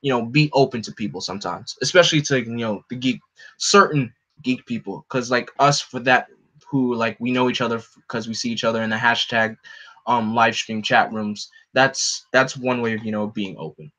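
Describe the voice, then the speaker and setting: A young man with a deep voice hosting a casual livestream, rambling with lots of fillers and answering his chat. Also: moderate pace, bright, forceful tone. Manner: rambling, lots of "like" and "you know". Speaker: livestream host. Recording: dull lo-fi stream audio.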